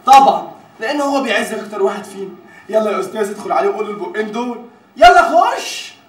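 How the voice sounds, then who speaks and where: An actor playing a pushy, eager Egyptian coworker in a comedy series, excitable and insistent. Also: male voice, sits high, rapid-fire, natural voice. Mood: goading. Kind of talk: Arabic comedy series acting. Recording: echoey room with background noise.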